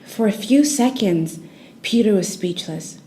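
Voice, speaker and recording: A woman storyteller reading a children's bedtime tale in a gentle, expressive, soothing narration style. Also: medium pitch, a little slow.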